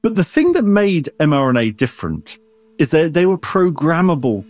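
A man's voice: on the high side, very animated, normal speed, darkish tone. A man who is an expert guest, explaining science in an interview with everyday analogies and frequent restarts.

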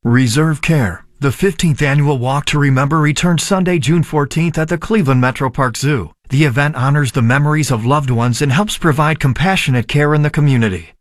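A man reading a sponsor message for public radio in a slow, deliberate way. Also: mid-range voice, no room sound, studio quality. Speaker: underwriting announcer.

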